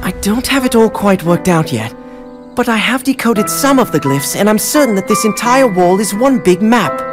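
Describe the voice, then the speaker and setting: An actor playing an eager, headstrong young male adventurer in an animated game, earnest and persuasive. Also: sits high, normal speed, over music. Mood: excited, teasing. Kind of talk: animated game cutscene acting.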